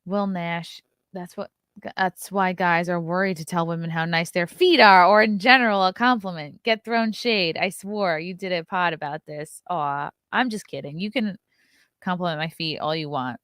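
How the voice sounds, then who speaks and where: A woman's voice: lowish voice, normal speed, fairly bright tone, smooth, no room sound, clean studio sound. A woman in her thirties hosting a casual livestream, reading chat comments and reacting with blunt, sarcastic commentary and frequent swearing. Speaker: livestream host.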